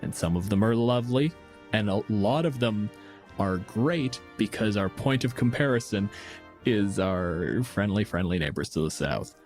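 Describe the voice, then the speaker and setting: A man's voice: fairly low pitch, lively intonation, moderate pace, a little mellow, soft background music. A man from Canada co-hosting a casual podcast chat, blunt and sardonic in his way of talking. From Windsor, Ontario, Canada. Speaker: podcast co-host. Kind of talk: casual chat about tabletop gaming.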